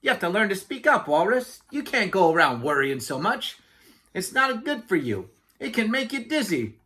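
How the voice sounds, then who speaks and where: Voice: mid-range voice, big pitch swings, moderate pace, bright, forceful tone, voice pushed up. A storybook reader voicing a chatty, self-important yak taxi driver who rambles indignantly and obliviously. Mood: breezy, oblivious. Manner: rambling, indignant, never lets others speak.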